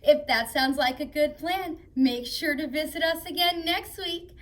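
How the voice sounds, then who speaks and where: A woman playing an excitable, gushing, childlike female character who speaks to young viewers in a children's show. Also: sits high, a little slow. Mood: sweet, eager.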